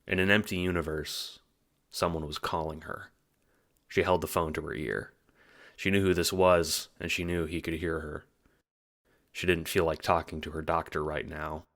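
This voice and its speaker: Low-pitched man narrating an audio drama. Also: level tone, moderate pace, fairly bright tone. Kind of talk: scripted storytelling narration.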